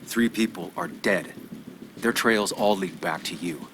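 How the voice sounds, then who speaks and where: An actor playing a determined, righteous investigative journalist in a video game, terse, restrained and intense. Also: male voice, low voice, unhurried, background noise. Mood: grimly defiant. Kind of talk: video game dramatic acting.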